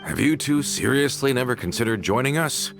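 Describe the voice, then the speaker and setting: A man playing an earnest, loyal henchman in a video game, talking in a formal, respectful, measured way. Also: mid-range voice, expressive, close to an everyday voice. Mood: earnest, curious. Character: earnest, courteous male henchman, loyal and deferential. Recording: soft game music behind.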